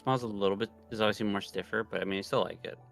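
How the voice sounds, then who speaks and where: A man joins a livestream as co-player, trading casual jokes and teasing. His voice sits fairly low with a lot of vocal fry. Soft music runs in the background. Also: steady tone, very fast. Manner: teasing, joking. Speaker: streamer's friend and co-player.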